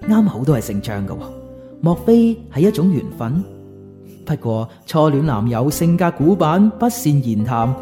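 A man's voice: a little high, warm tone. A man narrating a radio drama as a scripted storyteller.

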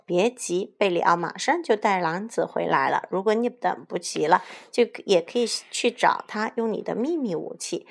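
A woman's voice: lowish voice, moderate pace, creaky, few pauses. A woman voicing a kind, reasonable young female chicken in a children's story, polite and soothing.

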